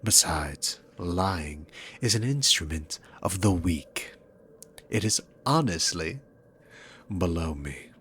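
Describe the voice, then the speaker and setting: A man's voice: low-pitched, expressive, slow, fairly bright tone, slight background noise, heavily acted. A man playing a dominant, sarcastic demon king villain in a scripted ASMR roleplay, with smug, teasing, theatrical phrasing. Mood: calm, proud.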